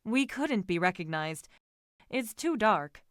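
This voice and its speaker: A woman voicing a commanding, impatient young gentleman in a storybook, firm and emphatic. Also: medium pitch, big pitch swings, slow pace, no room sound, studio quality. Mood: soothing. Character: impatient, commanding young gentleman lover, reassuring his companion.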